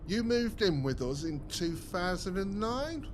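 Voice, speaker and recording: An actor playing a matter-of-fact, gently reasoning family man in a naturalistic audio drama. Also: sits high, sing-song, measured pace, a little hiss or hum.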